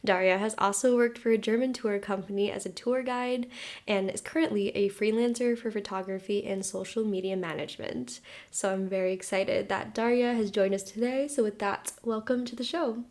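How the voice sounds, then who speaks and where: A woman's voice: mid-range voice, fairly even intonation, moderate pace, a little mellow. A woman hosting a podcast interview, introducing her guest and asking questions. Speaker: podcast host.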